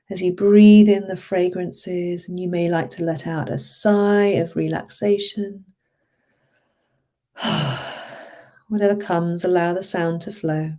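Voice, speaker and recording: A woman guides a hypnosis session as a therapist would, very slowly and soothingly. Her voice sits a little low and has a soft, dark, relaxed tone. She draws out her phrases and gently encourages the listener.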